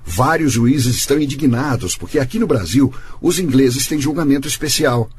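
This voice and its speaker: An actor playing a learned, middle-aged gentleman of the law in a radio soap, formal and measured, with a Brazilian accent. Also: mid-range voice, lively intonation, a little hiss or hum, close to an everyday voice. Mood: earnest. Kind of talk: radio soap opera acting.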